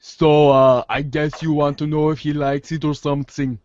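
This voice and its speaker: A too-cool teenage boy played by a man drawls slangy lines in a slightly high voice. He is nonchalant and halting, in a dry, somewhat muffled lo-fi audio-drama recording. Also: level tone, darkish tone, no echo, lightly acted. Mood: casual, hesitant. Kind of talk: fan audio drama of a webcomic. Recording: close, dull lo-fi sound.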